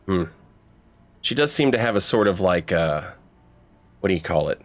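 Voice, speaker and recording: A man's voice: deep voice, expressive, normal speed. A man chatting casually and profanely as he hosts a livestream of a game playthrough. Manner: profane, conversational. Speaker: game streamer and host.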